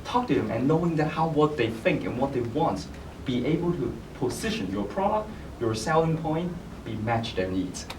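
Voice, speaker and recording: A man's voice: a little high, big pitch swings, normal speed, few pauses. A man on a panel giving advice in English, repeating key phrases as he lists points. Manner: advisory, repeats "be able to". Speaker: startup founder panelist. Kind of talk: panel Q&A answer. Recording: echoey hall, distant mic, noisy.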